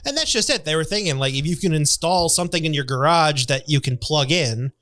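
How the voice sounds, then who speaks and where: A man co-hosting a comedy podcast, talking in a jokey, indignant way with quick asides. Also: fairly high pitch, animated, fairly bright tone. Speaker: podcast co-host. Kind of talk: comedic casual chat.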